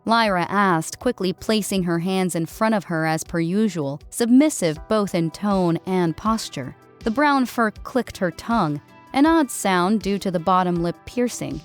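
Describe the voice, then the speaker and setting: Woman narrator, mid-pitched voice, scripted audiobook storytelling, faint background music. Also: animated, a little slow.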